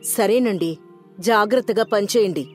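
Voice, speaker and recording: A devoted village wife from a moral tale, voiced by a woman, speaks at a middling pitch. She is gentle, respectful and concerned. Music plays behind her. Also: normal speed, lightly acted. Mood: caring.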